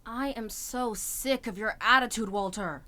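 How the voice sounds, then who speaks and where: A slightly high voice of a caring young first-aider played by a woman in an audio drama. The character is practical and forthright, with an urgent delivery. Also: unhurried, sharp tone. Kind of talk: amateur audio drama acting.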